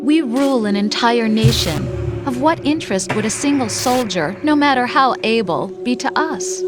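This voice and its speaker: An actress playing a cool, taunting artificial intelligence that poses as a soldier's lover, speaking with calm reproach and superiority in a video game. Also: female voice, on the high side, normal speed, lightly acted. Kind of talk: scripted video game voice acting. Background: background music.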